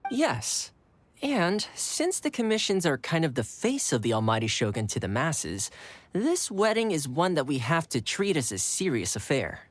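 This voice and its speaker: An actor playing a friendly, loyal young male retainer in a video game, casual and expressive. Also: a little high, moderate pace. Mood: earnest, puzzled. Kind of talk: video game character acting. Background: music.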